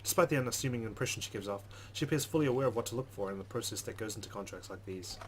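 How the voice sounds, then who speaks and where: A man's voice: fairly low pitch, very fast, a little hiss or hum. A man reading a visual novel aloud as a let's play host, slipping in his own asides.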